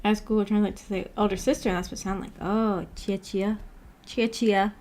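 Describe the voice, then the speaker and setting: A woman's voice: mid-range voice, little pitch movement, moderate pace, a little mellow. A woman chatting casually on a livestream and reading viewer comments aloud in a playful, giggly manner. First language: English. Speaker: streamer hosting a book club. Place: indoor livestream with animated avatar.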